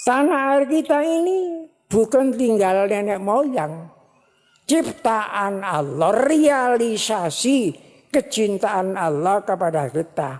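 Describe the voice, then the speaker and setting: A woman religious teacher giving a sermon at a ceremony, speaking slowly and repeating phrases for emphasis. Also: mid-range voice, animated, darkish tone. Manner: slow, repetitive, emphatic preaching. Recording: long hall reverb.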